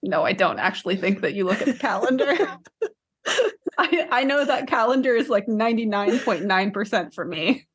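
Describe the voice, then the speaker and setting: A woman's voice: medium pitch, animated, moderate pace, husky. A woman co-hosting a podcast in casual chat, matter-of-fact with a dry, teasing streak. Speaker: podcast co-host.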